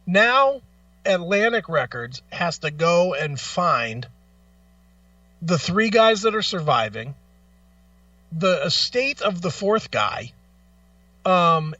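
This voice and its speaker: Male talk host, high voice, profane and exasperated opinion delivery, dull dry recording slightly off-mic. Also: sharp tone, no room sound, distant mic. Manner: blunt, profane, exasperated, emphatic. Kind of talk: opinionated casual radio talk.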